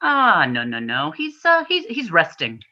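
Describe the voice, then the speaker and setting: A woman's voice: lowish voice, huge pitch swings, a little slow, pushed and bright, full character voice. A woman playing an evasive, shifty pet-shop keeper in an amateur radio comedy, stalling and blithely denying everything. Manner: hesitant, stalling, blithely insistent. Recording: dull, band-limited recording.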